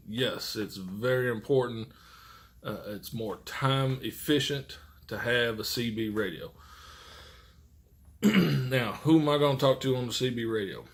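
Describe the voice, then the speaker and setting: An American man who works as a truck driver, giving casual, rambling tips in blunt, profane, folksy vernacular. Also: medium pitch. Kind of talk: casual rambling vlog monologue.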